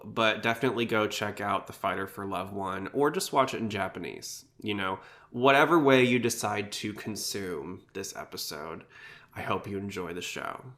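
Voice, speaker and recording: A man's voice: fairly low pitch, fairly bright tone. A man hosting a podcast, talking informally and explaining things in a casual style. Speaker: podcast host.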